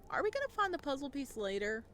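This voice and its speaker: A woman's voice: high-pitched, big pitch swings, quick pace, pushed and bright, slight background noise. A woman playing a visual novel, reading it aloud and chatting with reactions as she goes. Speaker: video game streamer.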